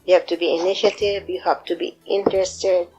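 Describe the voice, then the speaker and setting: A woman's voice: lowish voice, little pitch movement, a little fast, warm tone, slightly rough, muffled recording, soft background music. A middle-aged woman and mother speaking earnestly and encouragingly in a personal interview.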